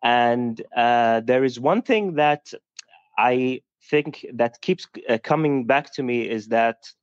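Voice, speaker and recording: Hesitant caller with native Arabic, dull line. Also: male voice, medium pitch, slow pace, smooth, no echo. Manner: hesitant, searching for words with many fillers. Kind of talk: casual call-in chat. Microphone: video call. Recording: dull, dry video call audio.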